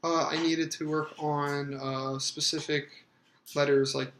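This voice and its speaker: A man in his thirties answering interview questions hesitantly, with many fillers and pauses. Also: fairly high pitch, flat delivery, fairly bright tone, reverberant, slight background noise. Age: 30s.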